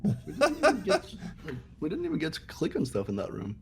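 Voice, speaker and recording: A man's voice: on the high side, wildly swooping pitch, fast, slight background noise. A man chatting casually and playfully as one of a group playing a video game. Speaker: player in group playthrough. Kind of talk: casual chat, playful commentary.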